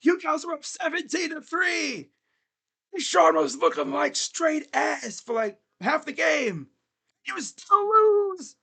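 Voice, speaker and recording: Animated, gravelly-voiced fan host. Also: male voice, very high-pitched, slow. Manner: animated, trash-talking, laughs often. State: voice getting hoarse. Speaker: sports fan channel host. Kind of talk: excited fan recap.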